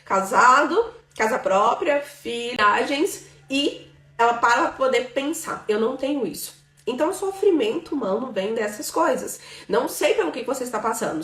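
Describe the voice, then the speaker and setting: A woman's voice: on the high side, distant mic. A Brazilian woman in her thirties giving a live class as a financial mentor, talkative, filling her talk with "né?" and "tá bom?". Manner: talkative, filler-heavy, checks in with "tá bom?" and "né?". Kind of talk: informal live lesson. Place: room with a live audience present. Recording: roomy, from off the mic.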